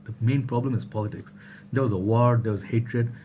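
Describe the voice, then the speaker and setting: A man's voice: medium pitch, fairly even intonation, fairly quick, phone-quality sound, slight background noise. A young man from Bangladesh talking casually to camera in a vlog, rambling with many fillers and restarts. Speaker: vlogger.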